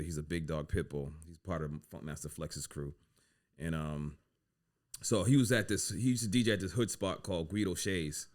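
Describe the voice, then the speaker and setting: Male podcast guest, deep register, easygoing anecdotal delivery. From United States. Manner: relaxed, filler-heavy, says "you know what I'm saying" often. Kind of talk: casual storytelling interview.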